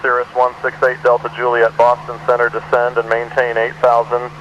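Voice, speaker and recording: A man's voice: mid-range voice, monotone, fairly quick, a bit bright, highs cut off. A synthetic man's voice playing an air traffic controller, giving clipped, formal, routine instructions over a radio. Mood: businesslike, routine.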